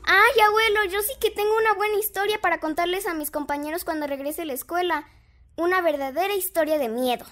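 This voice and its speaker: A female performer plays an eager young granddaughter of school age in a radio drama. Her voice is very high and sounds impressed, with faint music behind it. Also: quick pace, fairly bright tone. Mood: excited, awed. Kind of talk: audio drama acting.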